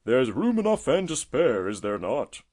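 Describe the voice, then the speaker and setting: An old male tortoise from a storybook, acted out by a man, talks in an emphatic, avuncular way. He is chatty and friendly with a testy streak, and his pitch swings up and down broadly. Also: big pitch swings, normal speed, a little mellow. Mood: gleeful, chatty. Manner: emphatic, chatty, avuncular, fond of tag questions. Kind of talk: storybook character voice.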